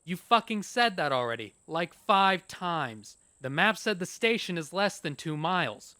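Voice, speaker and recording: A man's voice: high-pitched, sing-song, a little slow, pushed and bright, no room sound, studio quality, exaggerated voice. A man playing a defensive, sarcastic, foul-mouthed young rock musician in a horror story, with exasperated, slangy delivery. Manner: profane, exasperated slangy talk. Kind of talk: dramatized horror audiobook dialogue.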